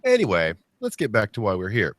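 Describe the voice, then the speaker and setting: A man's voice: lowish voice, wildly swooping pitch, fairly quick, a bit bright. A man hosting a podcast interview, congested from a cold, upbeat and joking with plenty of fillers. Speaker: podcast host.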